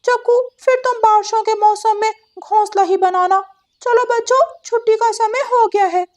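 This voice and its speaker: A woman voicing a cheery parrot schoolteacher with a squeaky, encouraging voice in a cartoon. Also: steady tone, moderate pace, darkish tone. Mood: cheerful. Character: cheery parrot schoolteacher praising little birds.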